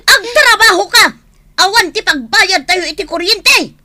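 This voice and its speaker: Shrill, exasperated nagging mother. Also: expressive, a little slow, a little hiss or hum, exaggerated voice. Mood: scolding, shouting. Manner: scolding, dramatic, loudly calling out. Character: exasperated, nagging mother and wife, short of money. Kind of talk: Ilocano radio comedy drama acting.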